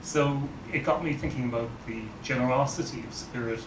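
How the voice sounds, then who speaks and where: A man with an Irish accent, a guest speaker giving a relaxed, wry talk to an audience at an in-person event. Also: mid-range voice, a little fast. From Ireland. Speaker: guest speaker, nonprofit CEO. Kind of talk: informal presentation to an audience. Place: event room. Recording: roomy, distant mic, background noise.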